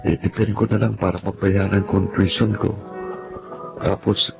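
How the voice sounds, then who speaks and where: An actor with a Filipino accent playing an earnest, respectful young student son in a radio drama. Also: male voice, low-pitched, flat delivery, normal speed, vocal fry, music in the background. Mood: earnest, resigned. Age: young adult. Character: earnest, hardworking young student son, dutiful but determined.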